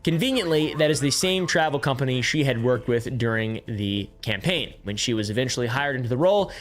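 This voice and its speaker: Young male streamer, mid-pitched voice, sarcastic and casual, swears a lot. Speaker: streamer and commentator. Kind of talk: casual commentary on a political story.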